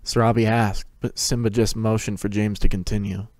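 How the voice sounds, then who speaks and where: A man reads story narration. His voice is fairly low, and the recording sounds close and dry. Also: little pitch movement, moderate pace, a little mellow, dry recording.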